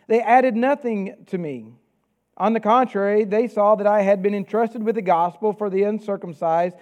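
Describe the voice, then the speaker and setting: A man with a Southern US accent preaching a sermon, reading scripture and praying in an earnest, measured way. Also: high-pitched, a little mellow, highs cut off.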